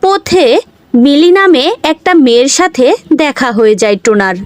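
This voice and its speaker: Woman narrator, high pitch, animated intonation, scripted storytelling, dry studio-like sound. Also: moderate pace, dry recording.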